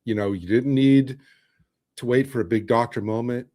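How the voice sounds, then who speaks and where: A man's voice: mid-range voice, normal speed, warm tone. A man talking casually as a guest in a fan discussion podcast.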